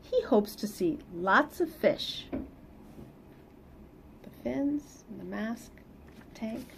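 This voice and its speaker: Middle-aged woman, children's read-aloud, unhurried, sing-song emphasis, some background noise, small room. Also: on the high side, gravelly. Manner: animated, sing-song emphasis for young listeners. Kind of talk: expressive children's picture-book reading with casual asides. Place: small plain indoor room.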